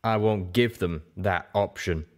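Man playing a protective dragon, low and deliberate. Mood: reassuring. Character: big protective male dragon, amiable but firm.

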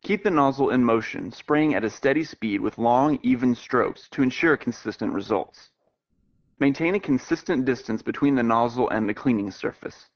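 An American man reading scripted instructional narration in a measured, explanatory way. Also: medium pitch. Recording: dull, lo-fi web video audio.

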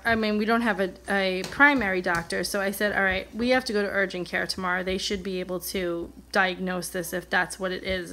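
An American woman talks at a medium pitch, as a vlogger would to her regular viewers. Her delivery is casual and candid, wandering a little as she replays who said what. A mild cold leaves her voice sounding slightly off. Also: a bit bright, slight background noise. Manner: candid, rambling, acts out remembered dialogue. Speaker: vlogger, coloring channel host. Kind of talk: casual chatty personal storytelling. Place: indoors at a table, coloring on camera.

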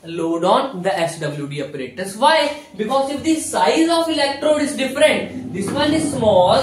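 A young man with an Indian accent teaching at a whiteboard as a lecturer, repeating himself and answering his own questions, recorded from a distance in a small room. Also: high-pitched. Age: young adult. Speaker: physiotherapy lecturer. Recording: echoey room, distant mic.

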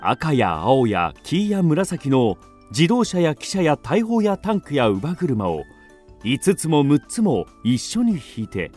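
A man narrates a children's radio drama in a fairly high voice. His pitch swings very widely as he tells the story, with light music underneath. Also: wildly swooping pitch, slow pace, a little mellow.

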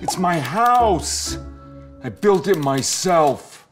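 A man's voice: high voice, very animated, extremely slow, faint music behind, pitched above the performer's own voice, full character voice. A man voicing an indignant, fussy alligator who protests in exasperation, in a storybook reading. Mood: exasperated. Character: indignant, fussy male alligator fed up with the narrator. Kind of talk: storybook character voice.

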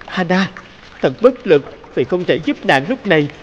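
Fairy-tale prince man actor: young, devoted, earnest and tender, lively slightly high delivery for cartoon. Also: normal speed, highs cut off, a little hiss or hum. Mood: worried, tender.

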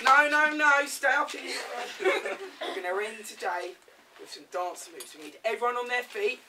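A woman hosting from a stage, loudly urging a live audience along in a projected voice. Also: a little high, expressive, moderate pace. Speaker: pantomime master of ceremonies. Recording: distant stage recording, noisy crowd.

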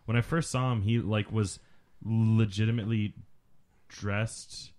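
A man's voice: on the low side, fairly even intonation, a little slow, a little mellow. A man co-hosting a casual film commentary, explaining things in a geeky, profane way. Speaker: podcast co-host.

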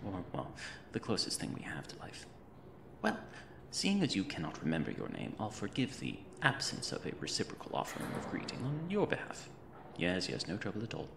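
A man's voice: low voice, vocal fry, full character voice. A man playing a creepy yet cheerful mad reanimator in an ASMR roleplay, talking in a theatrical, fussy, rambling way with polite macabre humour. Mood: politely macabre. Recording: roomy echo with noisy ambient backdrop.

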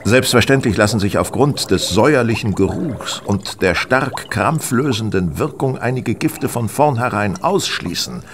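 A man playing a brilliant, eccentric, self-assured detective in a German radio play, with a lecturing and excitable manner. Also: on the low side, normal speed, few pauses, a little hiss or hum, lightly acted. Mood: confident, lecturing. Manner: theatrical, lecturing, self-satisfied.

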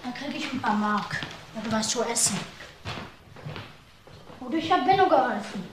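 A man's voice: very high-pitched, animated, normal speed. A young male actor playing a naive, withdrawn seventeen-year-old brother who answers simply and hesitantly in a crime radio play. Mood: subdued, naive. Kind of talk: German crime radio drama acting. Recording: roomy, faint background noise.

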